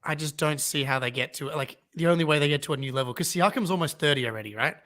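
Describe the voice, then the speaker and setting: A young man with a fairly high voice chats about basketball in an easygoing way. He agrees often and fills pauses with "yeah, dude" and "um.". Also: a bit bright. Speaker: fan channel co-host. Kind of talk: casual live draft reaction chat.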